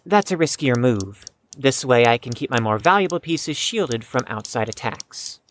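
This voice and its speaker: Actor playing a guarded young chess prodigy, lively pitch. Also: normal speed, slight background noise, natural voice. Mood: cautious, dry. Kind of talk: scripted audio drama acting.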